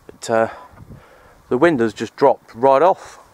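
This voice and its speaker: A man talks casually to his handheld camera out in the open air. His voice sits at an average male pitch, and his delivery is informal and unscripted, with halting phrases. A faint layer of outdoor noise runs under the recording. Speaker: vlogger, CB radio hobbyist. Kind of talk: casual outdoor vlog narration. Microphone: handheld camera microphone.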